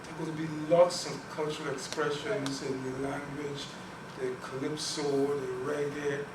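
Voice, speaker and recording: A man's voice: a little high, level tone, measured pace. Earnest man in an echoey lecture room. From Guyana. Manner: earnest, repeats words while thinking. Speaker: guest speaker on Caribbean languages. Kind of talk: academic talk and Q&A. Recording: echoey room, distant mic, noisy.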